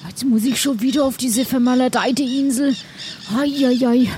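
A woman's voice: high voice, fairly even intonation, normal speed, warm tone, slight background noise. A woman improvising as a player in a role-playing game, speaking casually in character.

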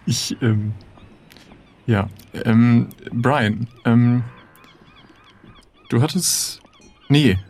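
A man with a medium-pitched voice leads an improvised roleplay. He talks in a hesitant way, working out each step aloud as he goes. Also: extremely slow, long pauses, darkish tone, slightly rough. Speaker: player leading an improvised pirate adventure game. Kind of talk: improvised tabletop roleplay talk.

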